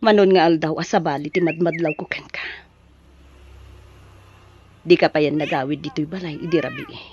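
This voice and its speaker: Low-voiced, suspicious Ilocano wife, acted by a woman. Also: steady tone, moderate pace, long pauses, darkish tone, dull audio, slight background noise, lightly acted. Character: suspicious, hurt wife confronting her husband. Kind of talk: Ilocano audio drama acting.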